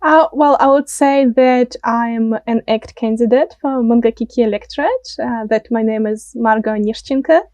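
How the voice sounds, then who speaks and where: Bright, higher-voiced Russian woman in dry sound. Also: moderate pace, few pauses, no echo. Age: late 20s. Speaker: interview guest, political candidate. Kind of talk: conversational interview answers.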